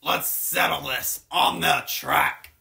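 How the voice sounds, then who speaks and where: A man voicing a cocky, hot-headed echidna brawler in an improvised comedic game fandub, boastful and drawn-out. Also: on the high side, vocal fry, pushed and bright, full character voice. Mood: threatening. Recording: game music behind the voice.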